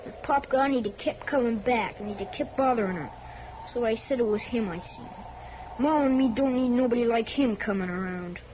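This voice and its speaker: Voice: mid-range voice, moderate pace, natural voice. A performer playing an earnest eight-year-old farm boy with folksy, rustic country talk, in 1950s radio western style. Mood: confessing, upset. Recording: muffled, faintly noisy vintage radio recording.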